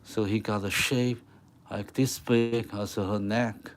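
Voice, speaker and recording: A man whose first language is Mandarin Chinese, speaking English with a Mandarin accent, teaching chalkboard drawing live in halting, word-searching English. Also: on the low side, little pitch movement. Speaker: art teacher.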